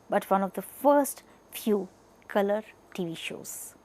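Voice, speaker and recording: A woman's voice: mid-range voice, mellow. Indian-accented woman presenting an educational talk. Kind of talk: scripted educational narration.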